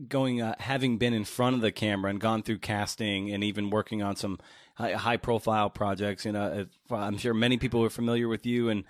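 A man with a lowish voice speaks in a dry, echo-free recording. He is a podcast host who builds long, conversational questions. His phrasing is wordy and searching, elaborating as he goes. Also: little pitch movement, brisk.

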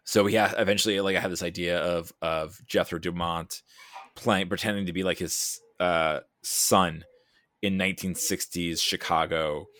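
Deep-voiced man, rambling and emphatic. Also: fairly even intonation, moderate pace, fairly bright tone, dry recording. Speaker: podcast guest, writer. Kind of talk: casual podcast discussion.

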